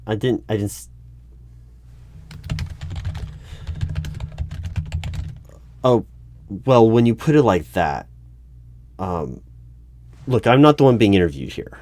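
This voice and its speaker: A man playing an exasperated, argumentative hiring manager in an audio roleplay, speaking haltingly and in formal wording. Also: lowish voice, animated, a little mellow, dry recording, natural voice. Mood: flustered. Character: exasperated male human-resources hiring manager interviewing a robot. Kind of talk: one-sided audio roleplay.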